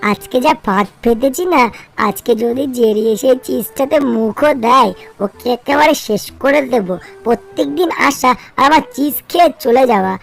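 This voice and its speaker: A woman voicing an excitable, scheming male cat in a comic cartoon dub, chattering and exclaiming with a West Bengali accent. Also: on the high side, moderate pace, vocal fry, faint music behind. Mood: gleeful menace. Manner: exclamatory, chatty, repeats words.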